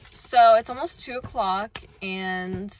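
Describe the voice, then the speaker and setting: A woman's voice: mid-range voice, slow, poor audio. A young woman hosting a casual vlog, chatty and upbeat with lots of fillers. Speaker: vlog host. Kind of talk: casual chat. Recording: loud background noise.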